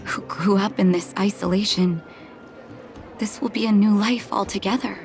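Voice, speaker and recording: A woman playing a kindly, reflective doctor in a video game, speaking in a gentle, thoughtful way. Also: medium pitch, fairly even intonation, faint music behind, natural voice. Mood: gentle, hopeful. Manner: gentle, thoughtful, measured, soft laughs and sighs.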